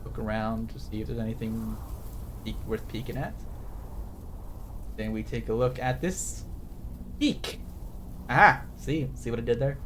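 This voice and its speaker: A man's voice: medium pitch, a little slow, pauses often, background noise. Self-mocking rambling male streamer. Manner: reads game text aloud. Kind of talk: casual let's-play commentary. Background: game music.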